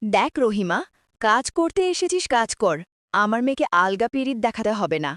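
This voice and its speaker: A woman's voice: a little high, big pitch swings, normal speed, dry recording, studio quality. A woman voicing a haughty, snobbish mother who scolds in a cartoon. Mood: contemptuous. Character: haughty, class-conscious mother from the family house. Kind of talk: cartoon dialogue.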